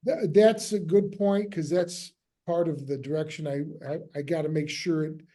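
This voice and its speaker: Man, very dark voice, directing haltingly. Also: fairly high pitch, steady tone. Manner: hesitant, halting, trails off mid-sentence. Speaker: director leading a script table read. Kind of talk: casual group discussion, giving notes.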